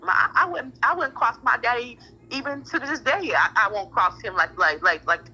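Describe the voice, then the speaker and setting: Emphatic, bright-voiced husky woman on the phone. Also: on the high side, expressive, moderate pace. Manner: emphatic, repetitive, blunt with profanity. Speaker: parent in a phone discussion. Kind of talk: heated casual phone conversation. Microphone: phone call. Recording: muffled telephone-like audio.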